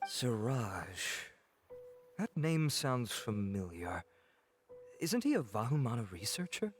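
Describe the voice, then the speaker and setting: A man voicing a polite, composed and helpful official in a video game, speaking in a courteous, measured way. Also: medium pitch, sing-song, over music.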